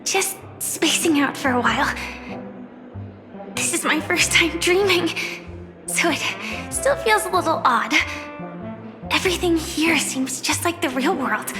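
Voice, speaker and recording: A gentle young game heroine, speaks in a high, soft voice at a slow, dreamy pace. She sounds frail and wistful yet quietly resolute, with prominent music behind her. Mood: calm, musing. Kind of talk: soft dramatic video game acting.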